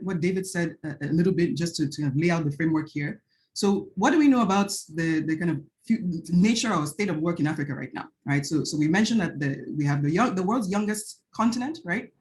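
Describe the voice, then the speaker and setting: A woman's voice: on the low side, darkish tone. Nigerian woman presenting research on a video call. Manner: earnest, frequent "right?" tag questions and restarts. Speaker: panel speaker, researcher. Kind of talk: academic panel presentation.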